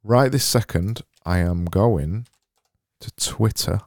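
Solo podcast host, man with a Barnsley accent, deep voice, deadpan mockery. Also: takes it slowly, dry recording, studio quality, faint music behind. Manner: sarcastic, deadpan self-mocking. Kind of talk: solo comedic podcast monologue.

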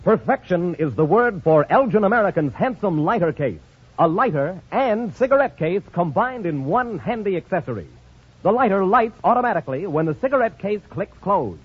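A man's voice: sits high, very animated, normal speed, breathy edge, lo-fi sound. A man reading a scripted 1950s radio advertisement on an old broadcast recording. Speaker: commercial announcer.

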